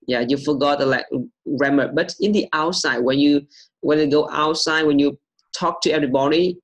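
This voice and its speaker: A young man teaching English on a video call, repeating questions slowly to coax answers from his student. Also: a little high, fairly even intonation. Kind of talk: online English lesson over video call.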